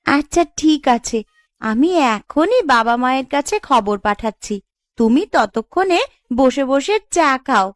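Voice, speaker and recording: A woman's voice: sits high, animated, measured pace, no echo. A woman voicing a wistful, sometimes sulky young wife in a cartoon, with a plaintive, coaxing manner. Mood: hopeful. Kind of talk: cartoon voice acting.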